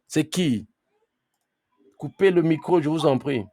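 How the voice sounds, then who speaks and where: A man's voice: a little high, a little slow, dark tone. A man teaching a live online class. He talks conversationally, keeps checking in with "ok?" and firmly tells late arrivals to mute their mics. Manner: firm with late students about muting. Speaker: trainer.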